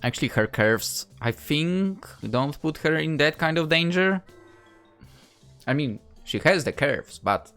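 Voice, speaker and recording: A woman with a low, lively voice hosts a let's play. She moves between story narration and amused, rambling asides, with light game music behind her. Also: a little slow. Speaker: gaming video host.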